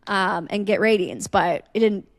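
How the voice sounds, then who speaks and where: A woman's voice: mid-range voice, a little slow, no room sound, clean studio sound. A woman co-hosting a podcast in casual conversation, explaining herself in a conciliatory way. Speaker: podcast co-host. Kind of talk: casual chat.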